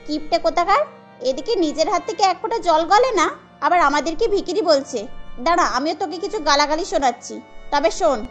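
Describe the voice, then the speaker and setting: A woman voicing a sharp-tongued, touchy young woman in a cartoon. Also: very high voice, lively intonation, fairly quick, faint music behind. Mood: indignant. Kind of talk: cartoon character voice.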